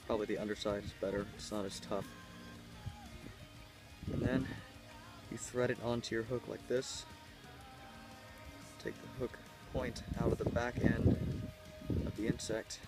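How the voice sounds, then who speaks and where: Young man, low voice, flat delivery, outdoors by a creek, handheld camera, music bed underneath. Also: unhurried, pauses often, poor audio. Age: young adult. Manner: plain, matter-of-fact explaining. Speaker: fishing vlogger. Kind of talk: casual how-to narration. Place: outdoors by a small country creek. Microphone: handheld camera close by.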